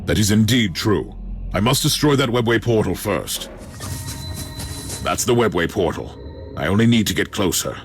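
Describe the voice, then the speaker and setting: Commanding inquisitor for a sci-fi game, male actor: very low, measured, resolute. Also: expressive, soft background music, natural voice. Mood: wary, determined. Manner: measured, authoritative. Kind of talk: video game cutscene acting.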